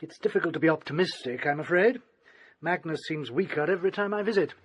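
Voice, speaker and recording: Husky, haughty English doctor. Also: male voice, a little high, expressive, quick pace, dry recording, dull audio. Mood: smooth, guarded. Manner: formal, clipped, condescending. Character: cool, haughty family doctor, quietly sinister and evasive. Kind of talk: radio drama acting.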